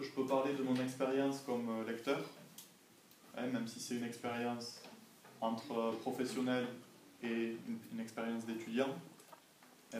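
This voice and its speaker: A young man answers questions in an informal classroom interview. He speaks at a medium pitch in a careful, didactic way, checking often that he is followed. The room is echoey, with faint noise behind him. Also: darkish tone, far from the mic. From France. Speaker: language assistant being interviewed by students.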